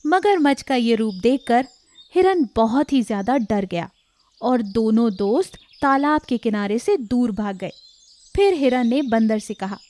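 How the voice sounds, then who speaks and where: A woman storyteller with a fairly high voice. She reads a scripted children's fable as narration for an animated tale. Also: a little slow.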